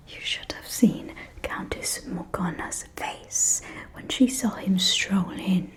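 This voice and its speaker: A woman playing a catty, gossipy aristocratic vampire socialite in an ASMR roleplay, speaking in a soft, breathy, conspiratorial whisper with drawn-out, teasing delivery. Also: mid-range voice, natural voice. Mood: scandalized gossip.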